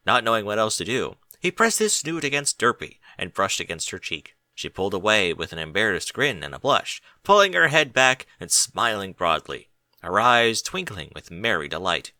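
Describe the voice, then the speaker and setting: Animated, bright-voiced male story narrator. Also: on the low side, normal speed, no room sound. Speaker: audiobook narrator. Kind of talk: expressive scripted story narration.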